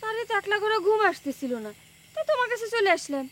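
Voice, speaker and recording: An actress playing a bold, pouting young village sweetheart in a comedy drama. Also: female voice, extremely high pitch, big pitch swings, fast, fairly bright tone, heavily acted. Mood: pouting, coy. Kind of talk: Bengali TV comedy drama acting.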